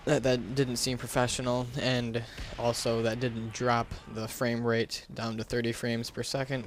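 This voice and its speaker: A man's voice: mid-range voice, slight background noise. A man giving a casual, unscripted gaming commentary into a gaming headset, talking in a hesitant, rambling, self-deprecating way. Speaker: gaming YouTuber.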